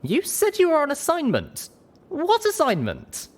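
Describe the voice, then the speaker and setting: A woman's voice: sits high, huge pitch swings, a little slow, pitched above the performer's own voice, heavily acted. A woman voicing a feisty young pony mare heroine, stubborn, suspicious and confrontational, in an audiobook story. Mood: demanding. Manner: confrontational, demanding.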